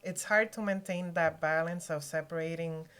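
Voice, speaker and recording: A middle-aged woman chatting reflectively as a podcast guest, feeling her way through sentences in a halting, casual manner. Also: on the low side, fairly even intonation, fairly bright tone, clear, resonant tone.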